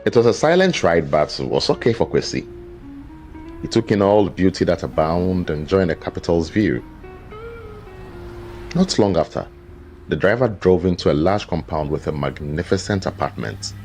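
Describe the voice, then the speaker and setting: Male narrator, very low-pitched voice, scripted folktale storytelling, measured and dramatic, guitar music behind. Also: lively intonation, a little mellow. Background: background music with guitar.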